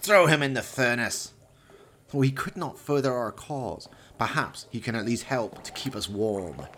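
A menacing mad scientist for a radio play. He talks in grand, formal sentences with swooping, animated pitch, theatrical and highly strung. The recording has clear background noise. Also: unhurried. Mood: cold menace.

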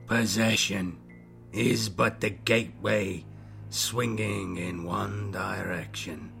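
Man acting gravelly, hissing corrupted old inquisitor. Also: on the low side, steady tone, sharp tone, soft background music, full character voice. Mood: muttering, ominous. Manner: slow, deliberate, grandiose delivery. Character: scarred, corrupted older inquisitor, hissing, proud and scornful.

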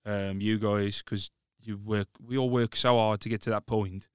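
Man, podcast guest, smooth clear voice, lowish pitch, dry close mic, rambling and candid. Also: fairly even intonation, moderate pace, no room sound. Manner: candid, rambling, with many restarts. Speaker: podcast guest, lead singer of a band. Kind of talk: casual chat.

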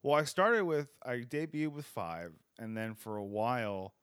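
Lively, bright-voiced podcast host man. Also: mid-range voice, measured pace, smooth, no echo, clean studio sound. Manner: animated, profane, joking. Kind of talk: casual podcast chat.

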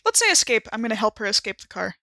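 A woman's voice: fairly high pitch, sing-song, quick pace, sharp tone, a little hiss or hum. A woman playing a tabletop game, chatting casually and thinking aloud in an informal way.

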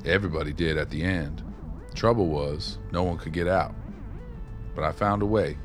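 A man's voice: a bass voice, moderate pace. An actor playing a laid-back, worldly older man in a radio play, talking in a folksy, colloquial, easygoing way. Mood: wry, reflective. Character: laid-back, worldly older male drifter, music buff. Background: music playing, guitar.

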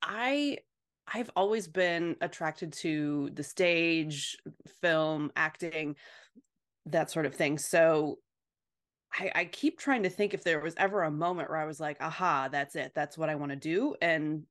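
A woman answering as a podcast guest in casual, reflective chat, halting and then quickening. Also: deep voice, a bit bright.

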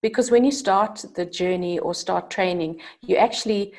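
A woman's voice: mid-range voice, normal speed, darkish tone, low-quality recording. A middle-aged woman with a South African accent, answering interview questions about her work as a coach and change manager. She talks thoughtfully, with frequent ums and restarts.

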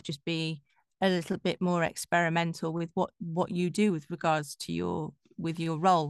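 A woman's voice: on the low side, no echo, studio quality. A middle-aged woman with a Yorkshire accent, a voiceover artist chatting casually as an interview guest. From Yorkshire, England.

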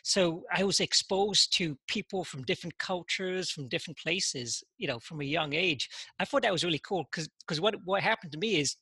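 Halting man, bright forceful voice. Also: on the high side, breathy edge, no room sound. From England. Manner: halting, heavy use of "you know" and repeated words. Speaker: podcast guest. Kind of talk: casual interview talk.

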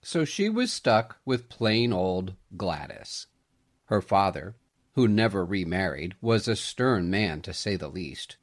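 A man reading a scripted Christmas story aloud in an expressive storytelling manner. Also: low voice, slow pace. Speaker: storyteller, podcast host.

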